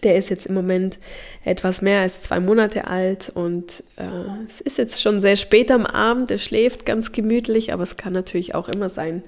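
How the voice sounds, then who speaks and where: Woman podcast host w a slight cold, bit nasal, explains stuff informally w lots of ums, mid pitch. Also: fairly even intonation, moderate pace, a little mellow. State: mild head cold. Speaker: podcast host and childbirth preparation coach. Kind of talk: podcast talk addressed to expectant mothers.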